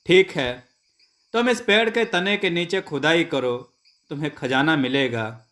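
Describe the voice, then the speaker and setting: A man's voice: on the high side, unhurried, a bit bright. A man voicing a small, frightened female bird who pleads and bargains in a storybook tale. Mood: conceding.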